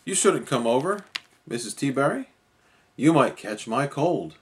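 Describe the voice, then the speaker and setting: Man acting old storybook gentleman, kindly, excitable, swooping pitch, unhurried. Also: a little hiss or hum. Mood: protesting, worried.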